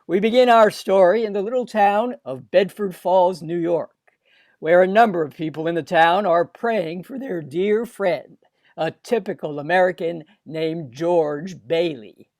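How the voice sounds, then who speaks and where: Man playing a high-voiced showy radio host. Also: unhurried, darkish tone, dry recording, studio quality. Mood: storytelling. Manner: showy, formal announcer delivery. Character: genial male radio playhouse host introducing stories. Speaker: radio show announcer. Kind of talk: 1940s radio announcing. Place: video call in a dry room.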